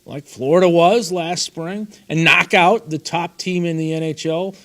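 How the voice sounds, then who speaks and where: A man's voice: on the high side, steady tone, a little slow, fairly bright tone. A man co-hosting a casual sports talk show, giving folksy, opinionated takes.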